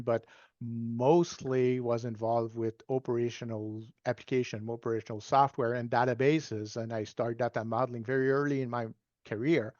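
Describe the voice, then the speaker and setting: A man in his sixties with a French Canadian accent, a native French speaker, giving measured, self-deprecating answers as an interview guest. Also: medium pitch, a little mellow, no echo, highs cut off. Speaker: guest data management consultant. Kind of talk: relaxed explanatory interview answers.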